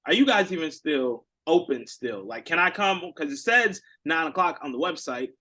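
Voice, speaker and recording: Man, podcast co-host, bright tone, teasing, talkative. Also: a little high, expressive. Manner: teasing, talkative, drawn-out explanations. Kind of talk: casual podcast chat.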